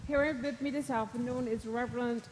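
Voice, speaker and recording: A woman's voice: on the high side, fairly even intonation, fairly quick, darkish tone. A woman hosting an interview program, welcoming a guest in a slightly formal manner. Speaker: program host. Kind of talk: broadcast interview. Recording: echoey hall with background noise.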